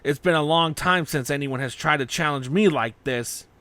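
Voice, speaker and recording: A man voicing a powerful, mysterious villain in a fantasy story, calm, confident and menacing. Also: fairly high pitch, moderate pace, no room sound. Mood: ominous.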